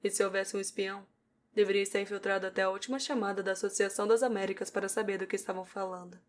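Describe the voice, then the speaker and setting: A woman's voice: mid-range voice, fairly even intonation, racing. A woman with a Brazilian accent reading scripted narration for an audio novel.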